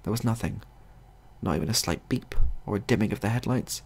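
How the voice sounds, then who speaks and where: A man narrating a scripted comic short story as a storyteller, in a husky, gravelly voice. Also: fairly low pitch, fairly quick.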